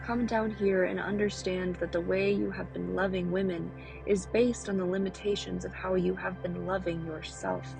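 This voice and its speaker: Creaky, lowish-pitched intimate woman over music. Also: steady tone, moderate pace. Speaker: monologue performer. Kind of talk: scripted poetic monologue reading.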